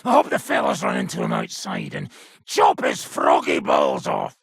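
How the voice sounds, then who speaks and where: An actor playing a gruff, middle-aged, foul-mouthed mercenary nobleman in a video game, blunt and cocky, with a raspy, gravelly voice. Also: rumbling low, wildly swooping pitch, normal speed, fairly bright tone, dry recording, clean studio sound, full character voice. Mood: furious shouting. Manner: blunt, swaggering, earthy swearing. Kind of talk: video game character acting. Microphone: close, dry studio microphone.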